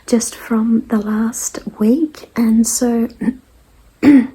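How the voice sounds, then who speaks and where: A woman with a dry, croaky voice, getting over a cold and clearing her throat, chats casually to followers as host of a weekly show in an unhurried, hesitant, rambling way. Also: fairly high pitch, fairly even intonation, dark tone, rough recording. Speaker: artist hosting a weekly message show. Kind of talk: casual vlog chat to followers.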